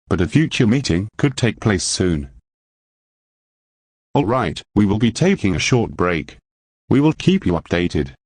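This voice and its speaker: Low-pitched man reading breaking news. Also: darkish tone, husky, no room sound. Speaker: news anchor. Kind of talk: breaking news report in a fictional emergency scenario.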